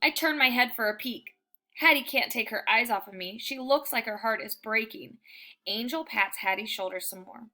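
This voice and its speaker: A woman reading a children's book aloud in an animated, emphatic storytelling style. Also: fairly high pitch, normal speed. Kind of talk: expressive children's book narration.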